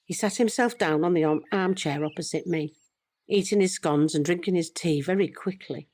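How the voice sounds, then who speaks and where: An older woman playing a loving, regretful British grandmother in an audio drama, confiding her story in a reflective, measured way. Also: lowish voice, a little mellow, lightly acted. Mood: tense, remembering.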